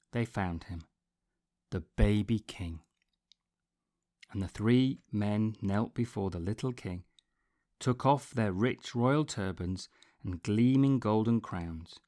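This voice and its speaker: Man, slow pace, medium pitch, expressive storybook narration, deliberate pauses, dramatic emphasis. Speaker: storybook reader.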